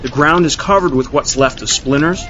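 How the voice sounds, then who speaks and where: A man's voice: on the high side, sharp tone. A man playing a rambling, easily sidetracked on-scene reporter in a comedy radio news parody. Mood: earnest rambling. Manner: halting, repetitive, easily sidetracked. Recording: noisy lo-fi outdoor field report.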